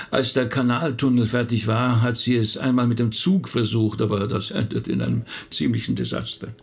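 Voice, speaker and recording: Husky, gravelly middle-aged rabbi. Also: male voice, medium pitch, fairly even intonation, normal speed. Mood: candid, reminiscing. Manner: hesitant, reflective, talkative. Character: thoughtful male rabbi recounting his late relative's life. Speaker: actor. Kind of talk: naturalistic radio drama dialogue.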